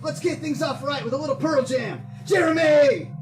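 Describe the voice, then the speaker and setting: A man plays a brash dude DJ in an audio drama, very high-pitched and hyped-up. He shouts excitedly, a little away from the mic, over music. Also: moderate pace. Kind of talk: radio DJ patter in audio drama. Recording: distant, music behind.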